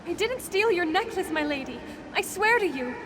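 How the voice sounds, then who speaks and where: Very high-pitched pleading young serving girl. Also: animated, normal speed. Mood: desperate pleading. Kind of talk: video game drama acting. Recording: noisy game audio capture.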